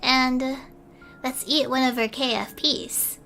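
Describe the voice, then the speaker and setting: A woman streamer reading a bedtime story in a soft ASMR style, at times slipping into a whisper. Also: high-pitched, fairly even intonation, unhurried, creaky, slight background noise. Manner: soft-spoken, sometimes whispering.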